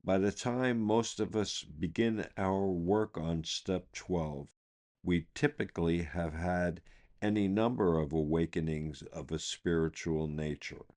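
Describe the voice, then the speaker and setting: A man with a low voice reads a scripted reflection for a podcast. His pace is very unhurried, and each phrase is set down deliberately. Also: a little mellow. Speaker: podcast reader. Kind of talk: scripted devotional reading.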